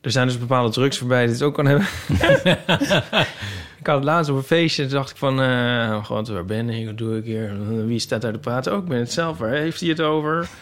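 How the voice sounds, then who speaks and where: A man's voice: medium pitch, a little fast. A man chatting casually on a podcast, playfully telling anecdotes and acting out what was said.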